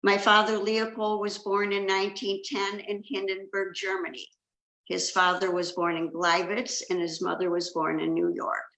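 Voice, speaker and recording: An older woman, born in China, gives a measured, scripted talk as a second generation Holocaust speaker. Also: mid-range voice, level tone, fairly bright tone. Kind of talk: prepared talk with slides. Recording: echoey room.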